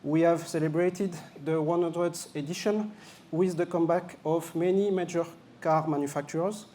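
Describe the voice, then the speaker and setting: A man's voice: a little high, steady tone, moderate pace, dark tone, a little hiss or hum. A man from France with a French accent, presenting a product at a live launch event with some jokes and hesitant fillers. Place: event venue.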